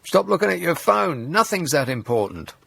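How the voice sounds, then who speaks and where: A man's voice: a little high, big pitch swings, moderate pace, vocal fry, a bit bright, slightly rough, no echo. A man hosting a radio phone-in, reading texts and talking to callers with dry sarcasm. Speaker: radio talk show host. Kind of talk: late-night radio phone-in. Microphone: studio mic.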